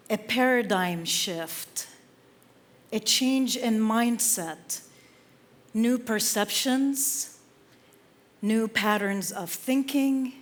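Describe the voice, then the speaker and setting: A woman from Lebanon giving an inspirational stage talk, slow and measured with dramatic pauses between phrases. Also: medium pitch. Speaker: speaker. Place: theater stage.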